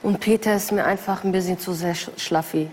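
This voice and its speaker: A woman's voice: lowish voice, steady tone, brisk, darkish tone. A woman on a TV jury giving frank opinions, hesitant with fillers. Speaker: jury member on a TV show. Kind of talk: casual panel talk. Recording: TV clip with long reverb and faint noise.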